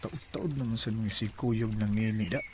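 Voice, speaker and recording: An actor with a Cebuano accent playing an earnest, smitten young suitor in a radio drama, speaking in an eager, heartfelt way. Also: male voice, on the low side, fairly even intonation, normal speed, music in the background, lightly acted. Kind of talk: Bisaya radio drama acting.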